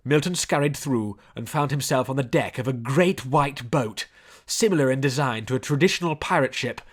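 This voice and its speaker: A man reading scripted story narration in a measured storybook delivery. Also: medium pitch. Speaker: audiobook narrator.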